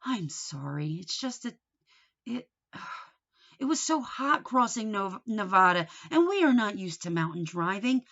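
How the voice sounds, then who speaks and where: A woman's voice: mid-range voice, very animated, a little slow, fairly bright tone, highs cut off. A woman voicing a fretful, reluctant mother on a family trip in a storybook read-aloud. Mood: weary, apologetic.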